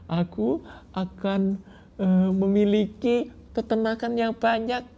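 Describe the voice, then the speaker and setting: A man voicing a greedy young shepherd who exclaims in excited, breathless bursts. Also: high voice, takes it slowly, creaky, pitched above the performer's own voice, full character voice. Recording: dull lo-fi recording.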